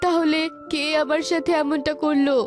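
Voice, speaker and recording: A woman's voice: sits high, flat delivery, normal speed, lightly acted. A woman voicing a worried, trusting bird farmer in a cartoon. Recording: music behind the voice.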